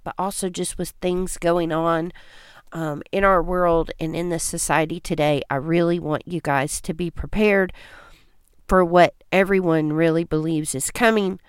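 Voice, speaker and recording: A woman talks folksy and chatty, her voice stuffed up and congested. It sits on the lower side, smooth and clear, recorded close and dry. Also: no echo. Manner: folksy, chatty, says y'all. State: congested from allergies. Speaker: podcast host. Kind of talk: casual homesteading podcast chat.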